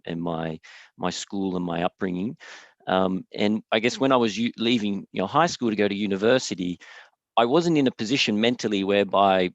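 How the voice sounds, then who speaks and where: A man's voice: low-pitched, dry recording. An Australian man giving an online lecture over a video call, hesitant, full of fillers and repeated words. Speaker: guest speaker. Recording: dry, slightly dull video call audio.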